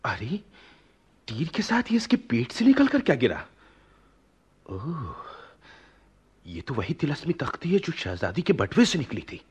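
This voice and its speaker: A man playing a young lovelorn prince, emotional and excitable, with theatrical exclamations in a radio play. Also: medium pitch, a little slow, long pauses, breathy edge, heavily acted. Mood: startled, excited. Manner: theatrical, exclamatory, swooping delivery. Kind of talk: Hindi radio play acting.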